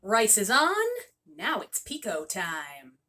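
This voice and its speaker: A woman's voice: mid-range voice, big pitch swings, takes it slowly, bright, forceful tone, voice pushed down, exaggerated voice. A woman voicing a playful, forgetful ten-year-old girl who talks in an eager, whiny kid voice. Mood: cheeky.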